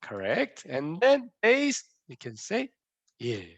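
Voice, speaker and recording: Lively high-voiced Korean man teaching. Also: unhurried, dry recording. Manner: playful, quizzes viewers with repeated questions. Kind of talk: online language lesson.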